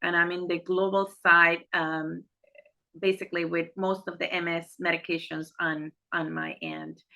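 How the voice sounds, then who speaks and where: A woman's voice: fairly low pitch, little pitch movement, moderate pace, creaky, pushed and bright. A middle-aged woman with a Puerto Rican accent, a native Spanish speaker, answers interview questions conversationally and earnestly, often repeating words as she goes. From Puerto Rico. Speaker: interview guest, neurologist and medical director. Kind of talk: conversational interview answers about life and work.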